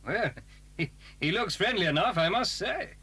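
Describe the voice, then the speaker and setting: A theatrical British gentleman for a children's audio drama. The voice is rough-edged and fairly high, swinging widely through each line in a stuffy, emphatic way. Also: lively intonation, normal speed, exaggerated voice. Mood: flustered. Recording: 1980s cassette dramatisation.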